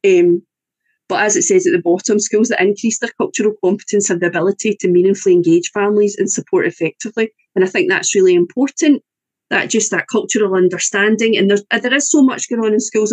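A woman's voice: medium pitch, fairly even intonation, a little fast, darkish tone, slightly rough, dry recording. A woman with a Scottish accent, an English-language teacher, giving an online presentation over a video call, often hesitating with fillers and restarts. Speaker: EAL teacher.

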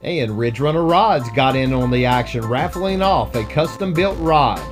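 A man's voice: mid-range voice, expressive, normal speed, music in the background. Easygoing man narrating a fishing event. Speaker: host and narrator of tournament video. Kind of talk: casual narration and chat.

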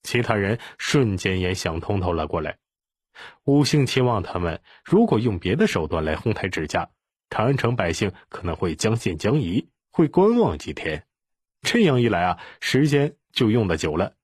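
A man narrating an audiobook in an expressive storytelling style. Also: lowish voice, normal speed, breathy edge, no room sound, studio quality.

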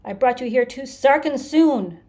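A battered, hateful creature played by a woman narrator from a storybook, snarling and hissing out his grudge. He is bitterly sarcastic and menacing, with a mellow darkness in the tone. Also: on the low side, fairly even intonation, normal speed, natural voice. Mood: furious. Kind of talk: dramatized audiobook character.

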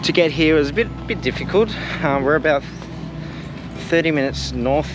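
A man's voice: fairly high pitch, animated, moderate pace. A man in his thirties, a travel vlogger, talking casually and upbeat to a camera held at arm's length outdoors at a stadium. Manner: chatty, upbeat, rambling asides. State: hot and sweaty. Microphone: action camera held at arm's length. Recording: loud outdoor crowd and traffic noise. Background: crowd and street noise.

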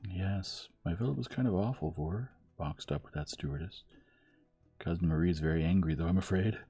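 A man voicing an earnest young woman in an audiobook, artless and emphatic, gentle but stubborn. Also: low-pitched, brisk, breathy edge, dry recording, soft background music. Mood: hesitant.

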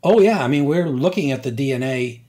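An older man, a scientist guest, explaining slowly and deliberately with many hesitations. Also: medium pitch, animated, a little mellow. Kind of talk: conversational science interview.

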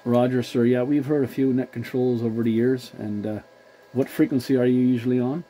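A man chatting as a CB radio operator, courteous and measured, using formal call-sign procedure. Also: mid-range voice, steady tone, warm tone, slight background noise, rough recording. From Newfoundland, Canada.

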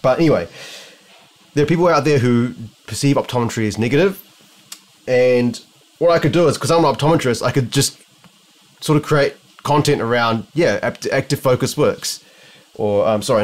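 A man's voice: medium pitch, moderate pace. A young man with a New Zealand accent muses aloud to a camera close in front of him, indoors at home. He rambles along casually, often breaking off and restarting his sentences as he works through his thoughts. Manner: musing, rambling, many restarts. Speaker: optometrist vlogger. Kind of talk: casual talking-head vlog. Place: home living room, indoors.

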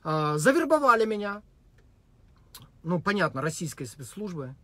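A man giving a deadpan, mock-serious spoken monologue with many hesitations and fillers. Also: high-pitched, normal speed, no echo. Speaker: vlogger.

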